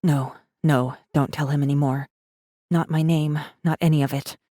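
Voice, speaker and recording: A woman playing a guarded, secretive rebel messenger who narrates an audiobook in an intense, measured first-person style. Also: low voice, steady tone, dark tone, no echo, clean studio sound. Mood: tense, wary.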